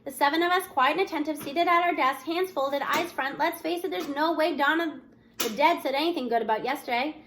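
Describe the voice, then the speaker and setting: High-pitched woman reading a story aloud. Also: brisk, fairly bright tone, slight background noise. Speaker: audiobook-style reader. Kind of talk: expressive read-aloud of a children's novel, first-person student narration.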